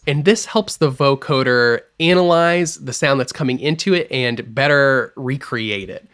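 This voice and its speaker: A man walks through music software settings like a tutor, clear and upbeat. His pitch rises and falls a lot as he explains, and he sits at a middling pitch for a man. The voice-over sounds close and studio-made. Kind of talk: screen-recorded software tutorial.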